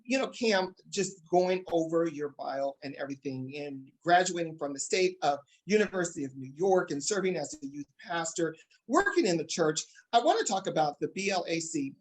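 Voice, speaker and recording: An upbeat man hosting a talk show. He speaks with excited emphasis and addresses his listeners directly, at a middling pitch. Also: poor audio.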